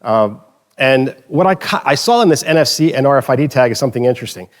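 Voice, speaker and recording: A man's voice: mid-range voice, animated, fast talker. A man from the Philadelphia area giving a conference talk, telling anecdotes with joking asides and often correcting himself mid-sentence. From Philadelphia area, United States. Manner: chatty, joking asides, frequent self-corrections. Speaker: conference speaker.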